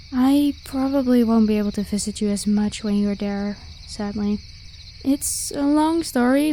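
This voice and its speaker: A woman voicing a carefree, protective vampire warrior of high rank in a casual, reassuring roleplay style. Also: a little mellow. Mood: wistful. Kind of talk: ASMR roleplay acting. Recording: clear background hiss with night crickets. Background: crickets and insect ambience.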